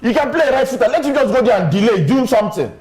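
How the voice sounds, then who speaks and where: A man's voice: very high-pitched, lively intonation, very fast, a crowd in the background. A man giving insistent, opinionated live football chat, repeating his points. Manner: insistent, repeats himself, laughs often. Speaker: pundit on a watchalong panel.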